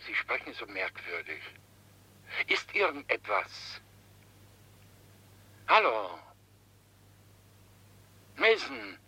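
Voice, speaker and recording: An actor playing a courteous but probing male caller with a rough voice in a 1960s German radio crime drama. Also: on the low side, big pitch swings, very slow, long pauses, bright, forceful tone, full character voice, poor audio. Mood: probing, puzzled.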